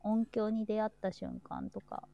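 A woman hosts a live show in an easy, chatty way. Her voice is mellow and soft-edged, with a good deal of creak in it. She speaks informally, as in unscripted conversation. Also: medium pitch, expressive, unhurried, dry recording, soft background music. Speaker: host of a live chat show. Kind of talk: casual chat.

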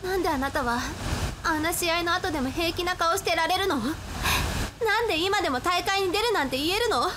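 Radio drama role, woman performer: bitter, fragile high school girl, very high voice, pleading and accusatory, noisy recording. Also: lively intonation, normal speed. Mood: tearful, accusing. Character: hurt, defensive teenage schoolgirl futsal player, quitting bitterly. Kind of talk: anime-like radio drama acting. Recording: very noisy background hum.

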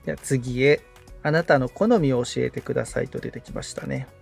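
A man's voice: medium pitch, steady tone, fairly quick, vocal fry, breathy edge, faint music behind. A man assisting on a tutorial podcast, agreeably reading out steps as he follows along politely. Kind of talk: casual, polite walk-through of on-screen steps.

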